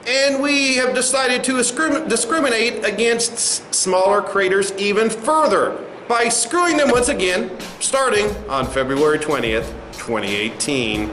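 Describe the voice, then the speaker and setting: A man playing a smug, condescending corporate spokesman in a satirical comedy sketch, patronizing and given to halting asides. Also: sits high, expressive, a bit bright. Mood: defensive, smug. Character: smug, condescending male corporate spokesman addressing angry protesters. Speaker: company spokesman. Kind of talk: satirical sketch, mock press conference. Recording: roomy echo, faint music behind.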